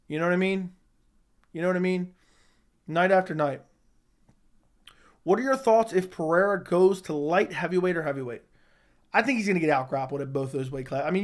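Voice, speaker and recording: A slightly hoarse young man hosting a casual live chat, answering viewers with blunt opinions. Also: fairly high pitch. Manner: opinionated, talks straight to chat. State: slightly hoarse, losing his voice. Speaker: livestream host answering chat.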